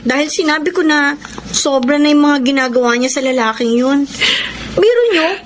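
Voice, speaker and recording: A woman's voice: sits high, normal speed, a little hiss or hum, lightly acted. A woman playing a frank, concerned young friend who reproaches and advises in a Filipino radio drama. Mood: confiding.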